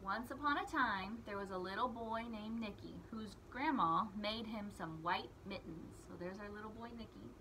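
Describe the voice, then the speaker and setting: Young woman, children's storyteller, very bright voice, slow simple phrasing, noisy room. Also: medium pitch. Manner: deliberate, simple phrasing with emphasized key words. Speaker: children's read-aloud storyteller. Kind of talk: simplified picture-book read-aloud for young children.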